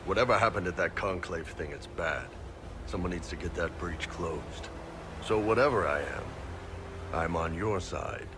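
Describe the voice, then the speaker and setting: A man's voice: deep voice, normal speed, background noise, natural voice. A man voicing a big, blunt, jovial horned mercenary captain and spy in a video game, casual and swaggering. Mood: frank, confident. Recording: loud music behind.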